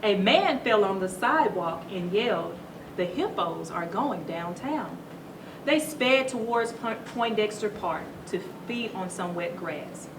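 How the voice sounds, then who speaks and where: A woman reading a children's story aloud to schoolchildren in a teacherly, animated way, stopping to put questions to them. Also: medium pitch, measured pace. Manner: teacherly, asks the listeners questions. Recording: roomy echo, distant mic, noisy background.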